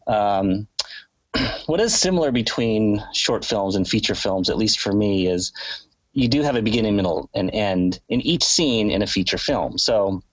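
Nasal low-voiced man with a cold. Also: expressive, normal speed, no room sound, rough recording. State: has a cold, sniffly. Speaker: guest, writer-director. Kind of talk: conversational interview about filmmaking.

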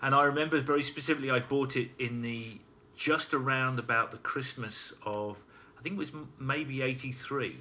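A man in casual discussion, phrasing things deliberately and hesitantly. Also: medium pitch, pushed and bright. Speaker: panelist.

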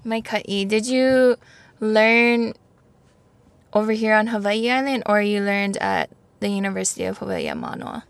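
A woman's voice: medium pitch, big pitch swings, moderate pace, no echo. A woman interviewer asking short, prompting questions in a friendly exchange.

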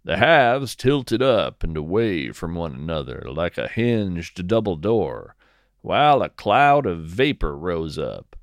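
A man narrates scripted fiction with a slow, deliberate pace. His low voice moves expressively up and down as he tells the story. The sound is dry and close, with no room around it. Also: very animated, no echo. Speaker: podcast host and narrator.

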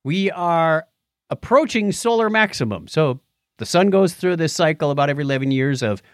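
A man's voice: fairly high pitch, lively intonation, normal speed, no room sound, studio quality. A man co-hosting a science news podcast, chatting casually and cracking jokes as he comments on stories. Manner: jokey, wisecracking.

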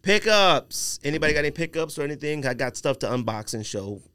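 A man's voice: fairly high pitch, lively intonation, fast, pushed and bright, clear, resonant tone, no room sound. A man chatting casually as a podcast host, with a slangy, bantering way of talking. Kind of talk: casual chat.

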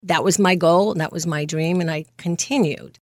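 A woman in her fifties from Greece, a nurse practitioner telling her story as an interview guest, earnest and quick to laugh. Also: fairly low pitch, no echo, studio quality. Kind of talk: casual interview.

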